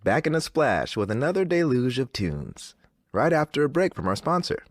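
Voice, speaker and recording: A man playing a wry, unsettled game radio DJ with theatrical, smooth-talking patter. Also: medium pitch, sing-song, normal speed. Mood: slick, upbeat.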